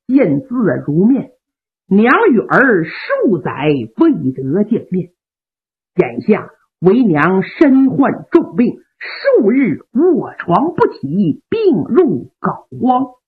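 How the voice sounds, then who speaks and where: A woman's voice: medium pitch, huge pitch swings, takes it slowly, slightly rough, pitched below the performer's own voice, full character voice. Give me a dying old mother's letter, sad and tearful, read by a woman storyteller over a narrow phone-like line. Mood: grieving. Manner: sorrowful, tearful reading. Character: old mother gravely ill, writing to her son.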